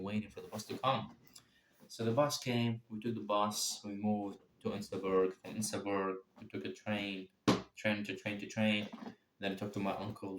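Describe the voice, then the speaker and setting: A young man with a fairly low voice tells a story in a loose, rambling way, swearing often and circling back over the same points. His voice sounds roomy and echoey, with a faint hiss of noise behind it. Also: a bit bright. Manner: rambling, profane, repeats himself. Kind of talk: casual spoken storytelling.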